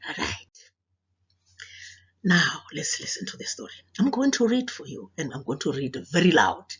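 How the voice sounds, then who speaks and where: A woman with a South African accent speaking as a teacher to children, deliberate and questioning, presenting a read-aloud in a muffled recording. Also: deep voice, huge pitch swings, a bit bright, husky.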